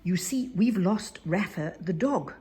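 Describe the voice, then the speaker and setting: A woman's voice: fairly low pitch, expressive, unhurried, dark tone, slight background noise. A woman voicing a sensible, bossy little girl bear who takes charge. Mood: brisk.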